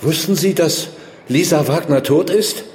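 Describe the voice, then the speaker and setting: A man's voice: on the high side, a little slow, a little mellow, close to an everyday voice. An actor playing an uneasy, bewildered man in an audio drama, hesitant, questioning and polite. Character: uneasy, bewildered civilian man helping at a coffin. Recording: echoey room, faint ambient noise.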